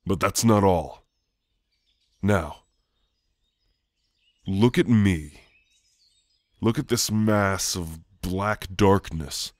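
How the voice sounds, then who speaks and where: A man playing a gentle shadowy sleep demon in an ASMR roleplay, speaking softly and haltingly to soothe the listener. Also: sits low, dry recording, clean studio sound. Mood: gently coaxing.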